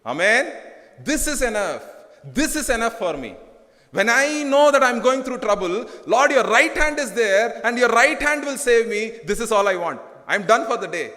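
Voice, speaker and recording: A man with an Indian English accent preaches in a high, ringing voice that carries a long echo through a church hall. He talks fervently, circling back over his phrases and swelling into emphatic peaks. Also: very animated, moderate pace, bright, forceful tone. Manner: fervent, repetitive, rising to emphatic bursts. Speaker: pastor. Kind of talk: sermon.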